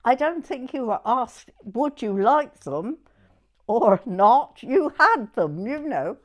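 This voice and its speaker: An elderly woman in her nineties with a southern English accent, sharing memories in conversation. Her voice is fairly high and very animated in pitch, and she takes her time, often pausing between short phrases. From southern England. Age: 90s. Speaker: oral history interviewee. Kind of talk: personal reminiscence in conversation.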